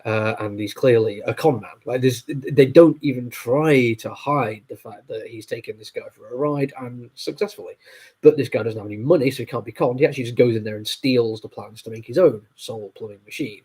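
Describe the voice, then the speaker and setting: A man's voice: mid-range voice, fairly quick, a little mellow, slightly rough. A man with a cold and a stuffy, nasal voice, reviewing comics casually with lots of fillers and apologies. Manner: rambling, with many fillers and apologies. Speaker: comic book reviewer and host.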